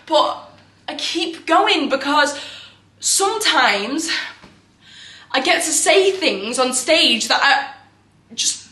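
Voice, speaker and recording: A woman's voice: sits high, pushed and bright, exaggerated voice. A woman performing a theatrical monologue as a restless, self-doubting young actress, raw and defensive. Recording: echoey room recording.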